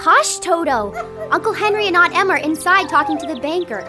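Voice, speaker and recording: A woman's voice: very high voice, expressive, fairly quick, music in the background. A woman playing a sweet young farm girl in an audio drama, childlike and earnest. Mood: hushed. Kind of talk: full-cast audio drama acting.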